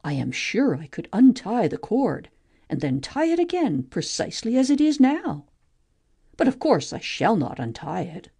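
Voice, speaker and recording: A woman acts out a restless, wondering young heroine of a mythic play. The voice is soft-edged and slightly husky, with very lively melody. She muses aloud, full of curiosity. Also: mid-range voice, normal speed, full character voice. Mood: wondering, eager. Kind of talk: amateur audiobook play reading, expressive.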